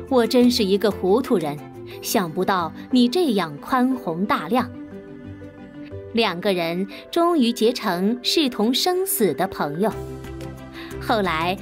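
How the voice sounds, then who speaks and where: A woman telling a traditional tale to children, clear and explanatory, her voice moving up and down with lively expression. Music plays clearly behind her. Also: mid-range voice. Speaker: narrator. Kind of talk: educational storytelling for children.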